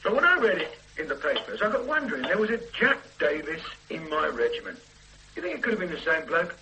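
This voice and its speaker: An actor playing an eager, cheeky detective sergeant in a radio drama. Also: male voice, fairly high pitch, fast talker, a little hiss or hum, poor audio. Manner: cheeky, lively, persuasive. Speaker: police sergeant. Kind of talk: 1970s radio drama acting.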